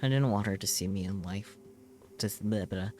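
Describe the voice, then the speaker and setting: A man reading visual novel text aloud as a streamer, in dramatic, intense narration with measured short phrases. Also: on the low side, a little hiss or hum. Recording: music behind the voice. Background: loud game music.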